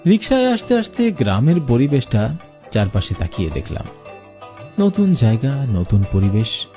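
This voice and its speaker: A man plays an earnest postmaster who tells his own cartoon ghost story. His mid-pitched voice is mellow and moves expressively up and down, in a storyteller's manner. Music runs softly behind him. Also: wildly swooping pitch, moderate pace. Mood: observant, uneasy. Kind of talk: first-person scripted cartoon horror narration.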